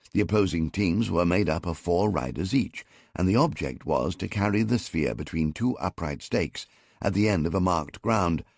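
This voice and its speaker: A man with a very deep, gravelly voice reads an audiobook in the first person. His delivery is measured and wry, with lively rises and falls in pitch, recorded dry and close with a husky edge. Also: no echo. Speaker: audiobook narrator.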